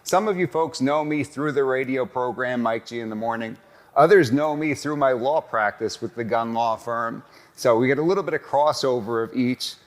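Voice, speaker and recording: A man's voice: mid-range voice, moderate pace. A man hosting a casual interview podcast, upbeat and promotional, recorded in a cigar lounge. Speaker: podcast host and lawyer.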